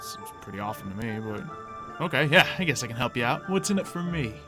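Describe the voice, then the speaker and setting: A man's voice: medium pitch, lively intonation, quick pace. A man doing casual, improvised Minecraft roleplay commentary in an offhand, playful way. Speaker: gaming video creator. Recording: music under the voice. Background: game music.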